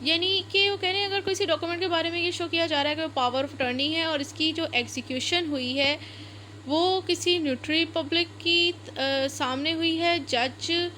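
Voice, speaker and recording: A woman's voice: very high-pitched, fairly even intonation, normal speed, bright, forceful tone, slight background noise. A woman with a Pakistani accent giving an explanatory lecture as a law teacher, going step by step with repetition and mixing in English terms.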